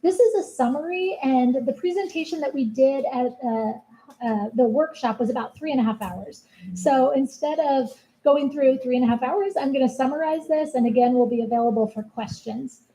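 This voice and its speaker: A woman giving a soft-spoken, earnest presentation as a city program manager at a town hall meeting. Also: high voice, normal speed. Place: community meeting room.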